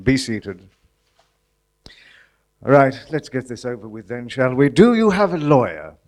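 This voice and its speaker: A man's voice: medium pitch, sing-song, unhurried, a little mellow. A man acting in a live comedy as a weary, sardonic, exasperated judge with a formal manner that slips into spluttering.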